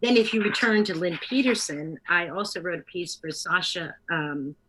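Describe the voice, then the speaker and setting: Lower-pitched woman in a halting interview. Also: bright, forceful tone, a little hiss or hum, low-quality recording. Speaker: interviewee, theater producer and playwright. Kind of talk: conversational interview, partly reading from notes.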